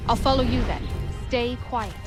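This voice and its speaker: An actress playing a brisk, tough colonial huntress in a video game, talking in clipped, folksy phrases. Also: female voice, a little high, over music, natural voice. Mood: matter-of-fact, intent. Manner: clipped, folksy, matter-of-fact.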